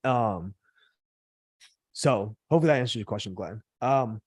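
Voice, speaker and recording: Slightly hoarse man answering viewer questions. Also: fairly low pitch, expressive, normal speed, no room sound. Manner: filler-heavy, lots of "like" and "right?". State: a little sick, losing his voice. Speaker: host of a live Q&A for finance career coaching.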